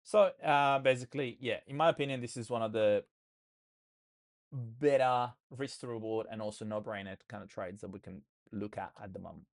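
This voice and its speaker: A man with an Australian accent, hoarse and losing his voice, walking viewers through trades in a loose live session full of fillers and restarts. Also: medium pitch, moderate pace. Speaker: trading educator. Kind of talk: live trading session walkthrough.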